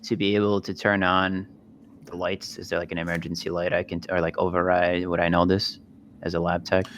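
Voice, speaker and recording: A man's voice: deep voice, monotone, brisk, fairly bright tone, a little hiss or hum. A man talking casually as a player in a tabletop roleplaying game. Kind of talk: casual game chat, asking questions.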